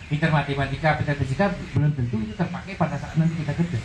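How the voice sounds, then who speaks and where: A man's voice: fairly high pitch, very fast, a little hiss or hum. A man from Bali with a Balinese accent, giving an informal, encouraging talk to young people as a guest speaker. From Bali, Indonesia. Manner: encouraging, lively. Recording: echoey room.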